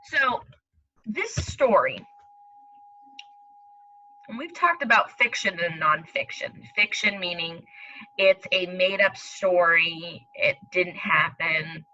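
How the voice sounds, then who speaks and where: A woman's voice: on the low side, animated, slow pace, pauses often, sharp tone, lo-fi sound, slight background noise. A woman teacher leading a read-aloud lesson for young children, explaining step by step and speaking directly to them. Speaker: elementary school teacher.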